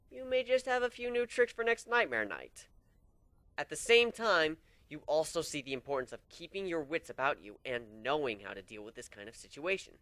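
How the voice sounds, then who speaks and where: A man voicing a smug, unhinged male griffin who lectures his students in a boastful, lively way. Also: fairly high pitch, no echo, voice pushed up. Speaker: instructor.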